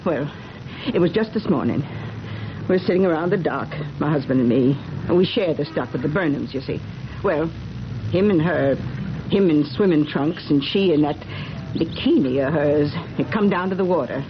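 An actress playing a determined, chatty middle-aged small-town busybody in a 1970s radio drama. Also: female voice, low voice, lively intonation, normal speed. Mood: insistent, suspicious. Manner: chatty, insistent. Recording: muffled, noisy vintage radio broadcast.

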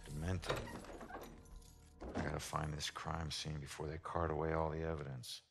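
Casting: brooding game detective, extremely low gravelly voice, terse noir delivery, music underneath. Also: male voice, little pitch movement, moderate pace. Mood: grim. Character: gruff, haunted hard-boiled male detective, middle-aged.

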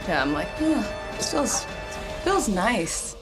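Animated woman player under very loud music. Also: mid-range voice, slow. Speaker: player in a tabletop game. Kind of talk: casual game-table chat.

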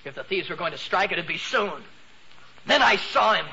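An American man playing an excitable teenage boy in a 1940s radio comedy, telling his story with breathless mock drama. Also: high voice, normal speed. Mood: earnest. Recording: noisy, dull 1940s radio recording.

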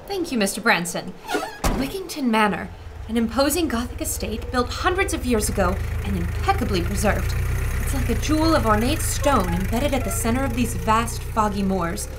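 A woman's voice: a little high, lively intonation, moderate pace, background noise, lightly acted. An actress playing an observant woman reporter who describes her adventure in an audio drama, storytelling as she goes. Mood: admiring.

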